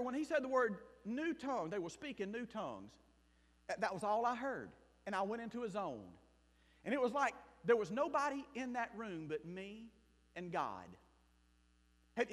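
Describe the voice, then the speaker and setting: A man from South Mississippi with a Southern accent, preaching a sermon and telling his own story as a missionary pastor, shifting between loud bursts and hushed asides. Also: extremely high pitch, very animated, normal speed. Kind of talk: sermon with personal testimony. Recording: long hall reverb.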